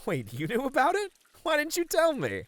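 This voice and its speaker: A man's voice: very high-pitched, huge pitch swings, normal speed, no room sound, full character voice. A man playing a friendly, easily flustered bunny man in an ASMR roleplay, earnest and a little hesitant. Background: pouring, trickling water.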